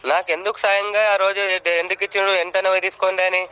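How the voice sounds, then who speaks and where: A man's voice: high voice, little pitch movement, quick pace, sharp tone, clean tone. A man with a Telangana accent making an insistent, aggrieved complaint as a farmer on a phone call. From Telangana, India. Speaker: farmer calling about a loan waiver. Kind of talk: phone complaint, casual conversation. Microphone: telephone.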